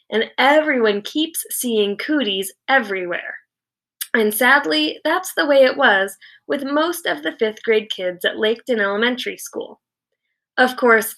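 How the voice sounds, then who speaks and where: A woman reads aloud to children in a bright, fairly high voice. She takes her time, phrasing each sentence deliberately and giving key words dramatic weight in an expressive storytelling style. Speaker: teacher reading a children's novel aloud.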